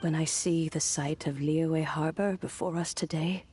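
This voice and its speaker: Wistful old granny, low measured voice. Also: female voice, steady tone, a little hiss or hum. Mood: wistful, fond. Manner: measured, reflective, with soft sighs. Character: kindly, wistful old granny with a gentle dignity. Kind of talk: scripted video game dialogue.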